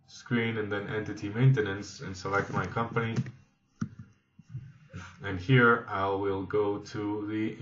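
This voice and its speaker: A man with a fairly low voice walks through a software demonstration step by step. His delivery is instructional and a little halting. The recording is echoey and slightly dull. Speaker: software trainer. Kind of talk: instructional screen-demo narration.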